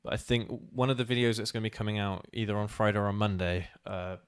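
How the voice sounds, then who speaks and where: A man's voice: lowish voice, steady tone, fast, clean tone, no echo, studio quality. A man co-hosting a casual live-stream chat, often restarting his sentences and laughing. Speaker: co-host of a motorcycling channel.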